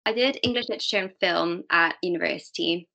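Young woman from Malta, guest on an interview show: bright, forceful voice pitched a little low, hesitant delivery full of "um"s and restarts. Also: fast, creaky. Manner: hesitant, many fillers and restarts. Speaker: guest, diversity and inclusion manager. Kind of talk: casual interview talk.

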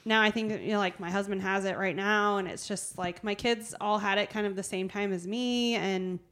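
A woman's voice: mid-range voice, fairly even intonation, normal speed, fairly bright tone. A woman in her thirties with a mid-range voice co-hosts a casual podcast. She coaches at a gym, chats and teases her co-host, and laughs easily. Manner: chatty, teasing, laughs easily. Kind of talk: casual chat.

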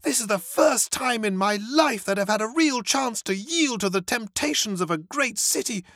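A reader voicing a giddy young male aristocrat, breathless and exuberant, in a high, chirpy voice. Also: expressive, moderate pace, fairly bright tone, no echo, clean studio sound.

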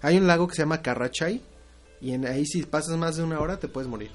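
A man's voice: fairly high pitch, fast talker. A young man with a Mexican accent chats loosely on a podcast, joking and swearing as he tells a story. Faint music plays underneath him. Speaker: podcast co-host. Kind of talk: casual chat.

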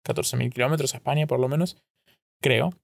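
A man's voice: medium pitch, fairly even intonation, fairly quick, gravelly, no room sound. A man from Argentina with an Argentine accent, recording a casual solo podcast, thinking aloud in a rambling way and often correcting himself mid-sentence. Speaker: podcast host.

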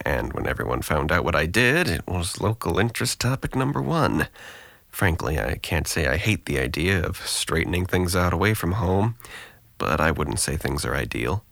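Audio drama actor for a wry, weary young man in therapy. Deep, creaky voice, dry close sound. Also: a bit bright, no echo, heavily acted. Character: depressed young man in therapy, guarded, wry, self-deprecating.

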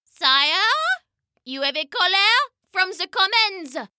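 A woman's voice: very high voice, expressive, slow, bright, forceful tone, no room sound, clean studio sound, voice pushed up, exaggerated voice. A woman voicing a nervous, well-buttoned royal page who hesitates and trails off. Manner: hesitant, stammering.

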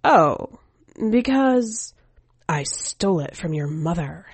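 A woman's voice: fairly low pitch, lively intonation, takes it slowly, breathy edge, no echo, full character voice. A woman voicing a jaded, prickly female skeleton spirit in a short story, brusque and dismissive. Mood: brusque, casual. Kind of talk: audiobook-style short story character voice.

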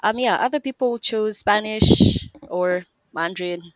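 A woman's voice: mid-range voice, a little slow, dry recording. A young woman in her twenties, a podcast guest talking in a chatty, rambling way with lots of fillers and restarts. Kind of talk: casual podcast interview. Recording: dull lo-fi dry recording.

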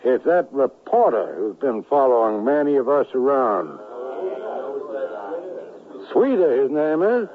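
An actor playing the menacing ringleader of a band of plotters, authoritative and deliberate in old-fashioned radio drama style. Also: male voice, mid-range voice, very animated, narrow, tinny audio, crowd noise. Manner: authoritative, deliberate, smoothly threatening. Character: commanding, menacing male ringleader of secessionist plotters. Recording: band-limited radio broadcast, music behind.

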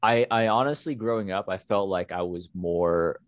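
Deep-voiced man, earnest and reflective. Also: moderate pace, no room sound, clean studio sound. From United States. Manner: earnest, reflective, many "you know" fillers. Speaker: podcast co-host. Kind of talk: casual podcast conversation.

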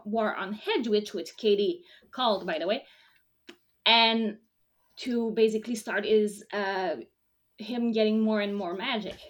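A woman's voice: medium pitch, a bit bright. A woman co-hosting a casual chat, recapping a show, talking with many fillers and restarts and laughing often. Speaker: podcast co-host.

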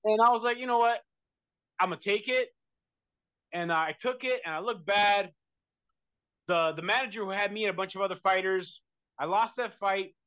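Male interview guest, American, rambling casual delivery, fairly high voice. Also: moderate pace, pauses often, bright, forceful tone, smooth, dry recording. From United States. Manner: rambling, many fillers and restarts. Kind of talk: casual conversation.

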